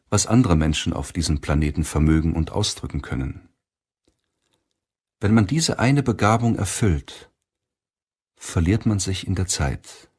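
A man narrating an audiobook in a measured, solemn way, reading from a script. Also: sits low, slightly rough.

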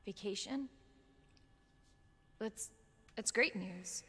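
A woman's voice: mid-range voice, drawn-out words, sharp tone, slightly rough, big-room sound, full character voice. An actress playing a determined young American woman in a dramatic video game. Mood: concerned, insistent. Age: young adult. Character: determined young woman searching for her brother.

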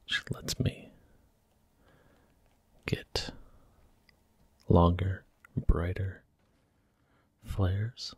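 A man giving soft-spoken, gentle ASMR commentary while he plays a video game. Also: sits low, flat delivery, drawn-out words, pauses often, breathy edge, no echo. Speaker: ASMR gaming host. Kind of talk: whispered ASMR gameplay commentary.

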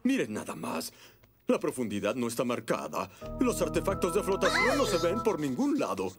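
A man's voice: a little high, wildly swooping pitch, normal speed. A man voicing a stern, pompous fire chief in a cartoon dub, with music behind him. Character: stern, blustering male fire chief doing inspections. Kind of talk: Spanish cartoon dub acting.

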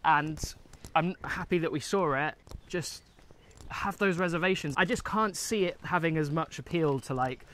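A man's voice: on the high side, moderate pace, a bit bright. A man, talking casually about a film while walking outdoors. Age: 20s. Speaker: film review vlogger. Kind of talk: casual film review chat. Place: outdoors, walking on a woodland path.

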